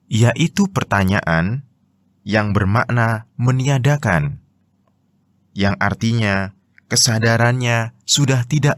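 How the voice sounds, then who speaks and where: Slow Indonesian man narrating, very dry sound. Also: on the low side, dry recording. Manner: measured, explanatory reading. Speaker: narrator of Quran translation and commentary. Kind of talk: scripted religious narration.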